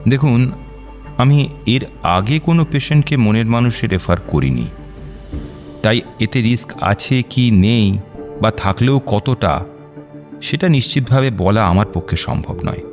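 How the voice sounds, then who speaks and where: A man acting in a radio drama as a reassuring doctor who explains things deliberately, with measured pauses. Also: medium pitch, expressive, darkish tone, soft background music, lightly acted. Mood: informative, measured. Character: measured, reassuring male doctor counselling a client.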